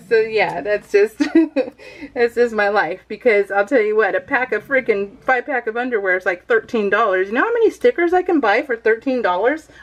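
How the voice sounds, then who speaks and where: A middle-aged American woman rambling casually as a craft video host, giggly and self-deprecating. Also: sits high.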